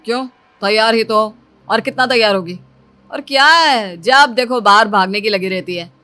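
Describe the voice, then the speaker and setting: A woman's voice: fairly high pitch, moderate pace, pushed and bright, dry recording, soft background music. A woman voicing a moody, insecure young husband in a cartoon moral story, curt and grumbling. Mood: irritated, curt.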